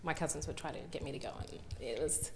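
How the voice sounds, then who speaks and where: A woman's voice: deep voice, fast, slight background noise. Hesitant Australian woman in a reverberant gallery. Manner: hesitant, lots of fillers and restarts. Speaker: guest artist. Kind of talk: candid conversational interview.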